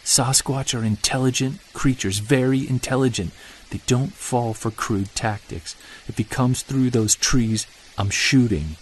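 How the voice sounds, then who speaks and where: An actor playing a tense, commanding Bigfoot hunter in an audio drama, terse and authoritative, speaking with hushed urgency. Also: male voice, medium pitch, measured pace, a little hiss or hum, lightly acted, rough recording.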